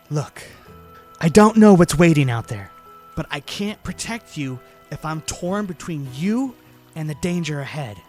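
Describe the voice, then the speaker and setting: A man playing a protective young hero knight in an audio drama, measured, firm and serious. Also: fairly high pitch, expressive, darkish tone, soft background music. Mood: firm, worried.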